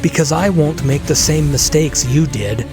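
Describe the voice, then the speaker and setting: A man voicing a brave young village hero, steady and earnest, in a fantasy audio drama. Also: fairly high pitch, normal speed, voice pushed up, close to an everyday voice. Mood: steady resolve. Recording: loud dramatic music behind.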